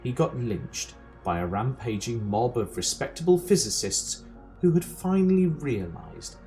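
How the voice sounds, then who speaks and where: An actor playing a dry, wry narrator of a galactic guidebook, reading scripted comedy. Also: male voice, medium pitch, animated, measured pace, warm tone. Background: music.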